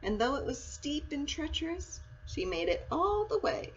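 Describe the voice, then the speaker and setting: A woman reading a children's story aloud in an expressive, storytelling style. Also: sits high, moderate pace. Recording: muffled audio with clear background noise.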